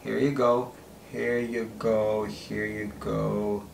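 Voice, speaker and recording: A man in his thirties with a Filipino accent, mixing Tagalog and English in an excitable home unboxing vlog, recorded in a small kitchen on the camera mic. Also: on the low side, takes it slowly, vocal fry, reverberant, a little hiss or hum. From Philippines. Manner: mixes Tagalog and English, excitable, self-deprecating. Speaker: vlogger.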